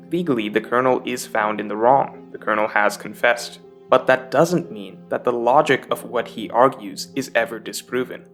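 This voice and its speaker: A man's voice: medium pitch, fairly bright tone. Man narrating analytically over guitar music. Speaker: video essay narrator.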